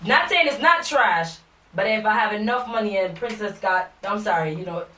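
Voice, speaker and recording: A woman's voice: medium pitch, animated, normal speed, sharp tone, far from the mic. A young woman co-hosting a music talk show in a small radio studio, talking bluntly and animatedly with frequent laughs. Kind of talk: casual studio chat. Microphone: desk microphone. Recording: echoey room, a bit off mic.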